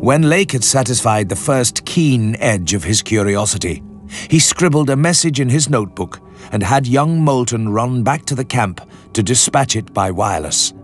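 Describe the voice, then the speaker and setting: A male actor plays a scientist looking back on a doomed expedition in a radio horror story. He tells it gravely and formally, in a measured mid-pitched voice, with an anxious weight. Music runs beneath him. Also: animated, close to an everyday voice. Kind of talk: dramatic literary audiobook narration for radio. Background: music under the voice.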